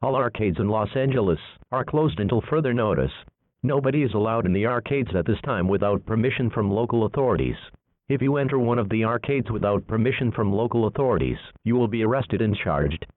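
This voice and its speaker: A man's voice: lowish voice, animated, fairly quick, dry recording. A man reading a formal scripted emergency alert as an announcer, impersonal and matter-of-fact, over narrow, muffled audio.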